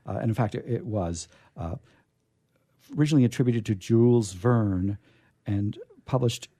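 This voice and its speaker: A man with a mellow, relaxed voice hosts a readings show. He talks off the cuff in a halting, rambling way, dropping in jokey asides. The recording is dry, with hardly any room sound. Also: fairly low pitch, no echo. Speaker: host and reader of a radio players' show. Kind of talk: chatty, off-the-cuff introduction.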